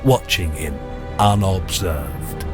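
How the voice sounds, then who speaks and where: A man reading scripted narration for a radio drama in a measured, descriptive manner. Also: sits low. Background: music.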